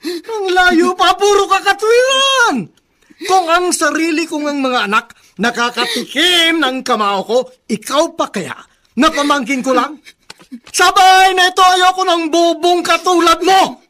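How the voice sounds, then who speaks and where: Casting: cruel domineering uncle in radio melodrama, very high-pitched, sharp, forceful, scornful scolding. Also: male voice, measured pace, exaggerated voice. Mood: scornful anger. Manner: harsh, scolding, insulting. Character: cruel, hot-tempered, domineering uncle berating his nephew. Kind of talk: melodramatic radio drama acting.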